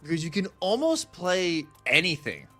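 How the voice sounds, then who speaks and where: Animated, bright-voiced male esports commentator. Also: high-pitched, moderate pace. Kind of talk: live analyst desk commentary, conversational. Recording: music behind the voice. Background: music.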